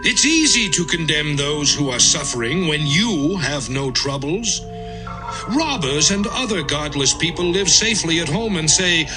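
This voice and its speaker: A man's voice: on the high side, sharp tone, low-quality recording. A man acting an anguished, suffering man who pleads with God in a dramatised Bible audio production, with impassioned, lamenting delivery. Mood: bitter.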